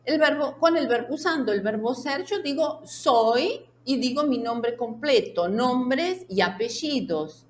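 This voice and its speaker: A woman's voice: sits high, animated, a little slow, few pauses, a little hiss or hum. A woman teaching a language lesson in a didactic way, laying out example sentences and checking in with short questions. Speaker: Spanish teacher. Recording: echoey room sound.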